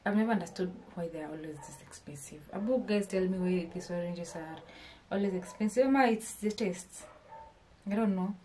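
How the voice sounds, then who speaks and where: A woman's voice: on the low side, normal speed, warm tone, a little hiss or hum. A young woman with a Kenyan accent chatting to her viewers in a casual haul vlog, asking them questions and often restarting her phrases, recorded in a small home kitchen on a camera at arm's length. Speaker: vlogger.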